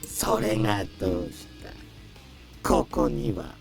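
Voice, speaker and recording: An actor playing an arrogant, menacing alien sand god in a visual novel, imperious and contemptuous of humans. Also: male voice, lowish voice, fairly even intonation, moderate pace, soft background music, close to an everyday voice. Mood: scornful. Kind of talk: Japanese visual novel villain acting.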